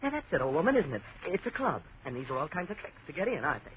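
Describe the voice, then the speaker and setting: A man's voice: on the high side, sing-song, very fast. Shaken, stammering young American man, swooping voice. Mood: insistent, bewildered. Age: young adult. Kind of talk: 1940s radio horror acting. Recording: noisy dull old radio recording.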